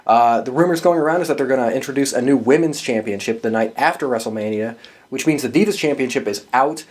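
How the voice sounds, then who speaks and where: A man's voice: mid-range voice, darkish tone. A man hosting a podcast, giving casual, opinionated commentary in an informal, emphatic fan's way with some swearing. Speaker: podcast host giving wrestling predictions.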